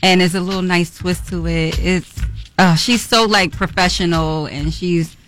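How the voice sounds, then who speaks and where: A woman's voice: fairly low pitch, fairly even intonation, unhurried, fairly bright tone, soft background music. A woman speaking as an actress guest, informal and conversational in a casual radio chat. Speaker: stage actress, interview guest. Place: radio studio.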